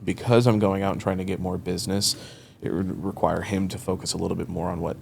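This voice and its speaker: Low gravelly man in his thirties. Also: steady tone, quick pace, a little mellow, slight background noise. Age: 30s. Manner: rambling storyteller, acts out quoted dialogue, laughs often. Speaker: guest, mortgage loan officer. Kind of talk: casual interview conversation.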